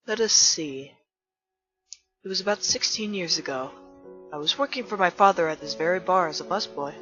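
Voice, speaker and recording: A woman voicing a formal, gentle adult male fire-monster bartender in a fan comic dub, speaking politely without contractions. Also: lowish voice, normal speed, muffled recording, soft background music, lightly acted. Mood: wistful.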